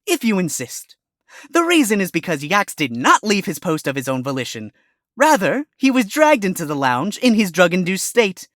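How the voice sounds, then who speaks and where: An actor voicing a wisecracking male fox defense attorney who talks quickly and wryly. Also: medium pitch, wildly swooping pitch, fairly bright tone, no room sound, clean studio sound. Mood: arguing. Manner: quick, wry, exclaiming objections.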